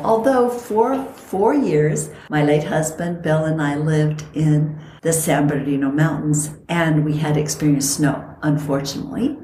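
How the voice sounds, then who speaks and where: A woman's voice: lowish voice, darkish tone, echoey room, a little hiss or hum, poor audio. A middle-aged woman from Costa Rica, co-hosting a podcast and telling stories in a reflective, chatty way. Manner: reflective storytelling. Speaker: podcast co-host. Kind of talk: casual chat.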